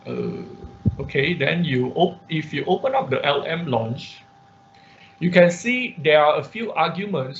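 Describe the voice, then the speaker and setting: A man teaches an informal live online tutorial, explaining haltingly with repetition and frequent check-in questions. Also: fairly high pitch. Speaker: instructor of an online robotics class. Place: online class call. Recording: dull, muffled online-class audio.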